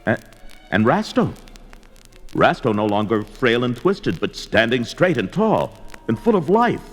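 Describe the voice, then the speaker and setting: An actor playing a fierce, brooding barbarian warrior in theatrical, declamatory 1970s audio drama style, talking aloud to himself. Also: male voice, mid-range voice, sing-song, unhurried, soft background music. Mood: urgent, awed.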